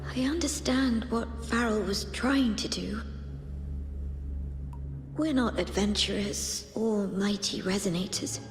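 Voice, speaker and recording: A woman voicing a sorrowful but resolute poet in a video game, solemn and gently resigned. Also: medium pitch, slow. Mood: solemn resignation. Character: sorrowful, resolute female poet facing her fate. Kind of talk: scripted video game dialogue. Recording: echoey long reverb, music behind.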